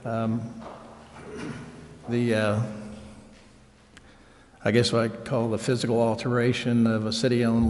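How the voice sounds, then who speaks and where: A man speaking as a council member at a public meeting, asking questions in halting, measured phrases. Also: mid-range voice. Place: council chambers. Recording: reverberant meeting hall.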